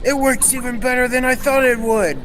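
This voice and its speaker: A man's voice: very high-pitched, moderate pace. A young man playing an earnest, proud scientist inventor in an old-time radio serial, explaining things formally. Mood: excited. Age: teenager or young adult. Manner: formal, explanatory, technical delivery. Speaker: student actor. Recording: reverberant hall, steady background noise.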